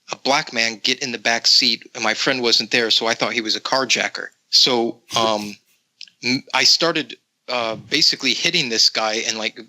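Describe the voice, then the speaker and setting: A man from the Netherlands speaks as a guest on a podcast. His voice is very bright and forceful and sits in a middle pitch. He recounts his stories in a relaxed, casual way, with frequent pauses. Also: low-quality recording.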